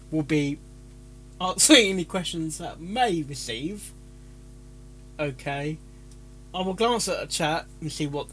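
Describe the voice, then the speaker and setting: A man's voice: on the high side, sharp tone. A man chatting casually as a live-stream reactor, stumbling over his words and interrupting himself. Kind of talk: casual live-stream chat with rehearsed intros.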